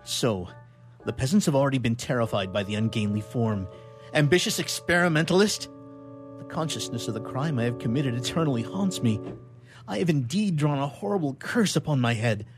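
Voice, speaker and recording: A man playing a tormented young gentleman scientist, imperious and declamatory, in radio theatre melodrama style. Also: medium pitch, animated, brisk, over music, natural voice. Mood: brooding, despairing.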